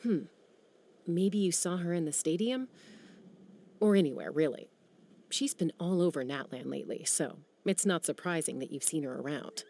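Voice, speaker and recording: A woman voicing a calm, encouraging young woman warrior. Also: low-pitched, moderate pace, vocal fry, a little hiss or hum. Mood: reassuring. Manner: steady, reassuring.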